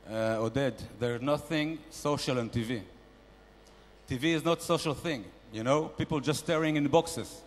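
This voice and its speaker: Man doing a loud, pushy showman investor shark, fairly high voice, echoing through a big hall. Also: normal speed, a little hiss or hum, lightly acted. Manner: loud, bossy, mock-grand sales pitching. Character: brash boastful male investor "shark", showy and pushy. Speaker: comedian performing at a panel. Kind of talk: stand-up character bit. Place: large conference hall. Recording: reverberant conference hall.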